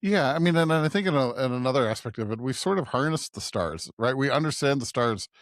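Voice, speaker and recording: Male co-host, medium pitch, conversational, seeks agreement as he goes, dry studio-like sound. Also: animated, fast, no room sound. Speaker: podcast co-host. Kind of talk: casual discussion.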